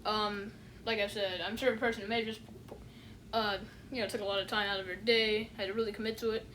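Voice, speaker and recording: A woman's voice: medium pitch, level tone, a little fast, fairly bright tone, a little hiss or hum, rough recording. A woman giving a casual spoken review, rambling with many fillers and a dismissive, unimpressed manner. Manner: rambling, heavy fillers, repeats "you know what I'm saying". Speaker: online reviewer.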